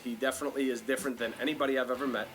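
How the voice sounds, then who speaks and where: A man's voice: medium pitch, fairly even intonation, very fast. A man talking bluntly in a reality show interview as a tattoo shop owner introducing his crew. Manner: blunt, swearing. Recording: music behind the voice. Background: guitar music.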